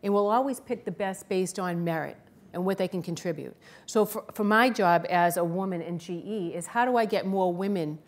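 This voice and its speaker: A woman's voice: lowish voice, moderate pace. A middle-aged woman with a thick Boston accent, speaking plainly and candidly as a panelist. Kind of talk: panel talk.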